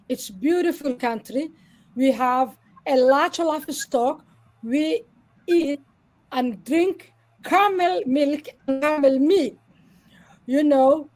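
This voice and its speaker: High-voiced woman on a video call. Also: darkish tone, poor audio. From Somalia. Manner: earnest, explains patiently with many "you know" fillers. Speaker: interview guest. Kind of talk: live online interview.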